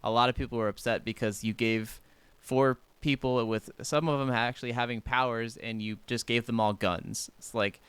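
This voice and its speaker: A man's voice: medium pitch, fairly even intonation, moderate pace, a bit bright, clean tone, no room sound. A man co-hosting a casual gaming podcast in an easygoing, agreeable, somewhat hesitant way. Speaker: podcast co-host.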